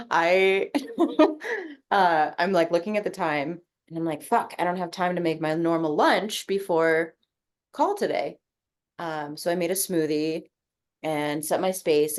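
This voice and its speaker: A woman sharing in a group coaching video call, talking in a casual, reflective way, full of fillers and easy swearing. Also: low-pitched. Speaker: coaching participant.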